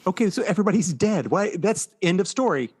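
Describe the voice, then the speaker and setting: A man's voice: sits high, animated, fast, a little mellow, slightly rough. A middle-aged man with an American accent chatting casually and playfully as a podcast co-host about pop culture.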